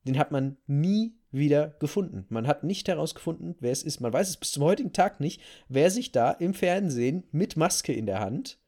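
A man's voice: a little high, lively intonation, normal speed, few pauses, dark tone. A man hosting a talk show, telling a story in a casual, conversational way and repeating phrases for emphasis. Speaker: podcast host telling a story.

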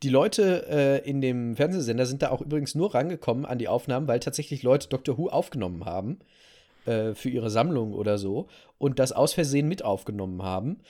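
A man's voice: mid-range voice, expressive, brisk, mellow. A man with a German accent co-hosting a podcast, explaining things in casual conversation. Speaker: podcast co-host.

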